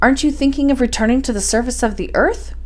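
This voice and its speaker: An excitable young man on an expedition read by a woman storyteller, full of questions and wonder. His lines lift above a middle pitch in this adventure storybook. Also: quick pace, lightly acted. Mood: doubtful. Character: curious, amazed young nephew on an expedition.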